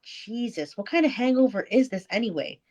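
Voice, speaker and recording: A woman's voice: fairly high pitch, lively intonation, a little fast, dark tone, highs cut off. A woman co-hosting a book club podcast, reading aloud dramatically and casually, breaking off to comment and swear.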